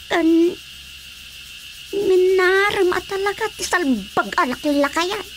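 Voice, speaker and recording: A woman playing a talkative, teasing village woman in expressive radio drama dialogue. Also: very high-pitched, takes it slowly, heavily acted.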